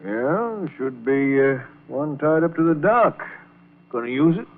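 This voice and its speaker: A man's voice: on the high side, lively intonation, measured pace. An actor playing an older, folksy, fatherly and wary kitchen hand in an old-time radio drama. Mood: probing, teasing. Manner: folksy, hesitant, colloquial. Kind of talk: 1940s radio drama acting. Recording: tinny old radio recording.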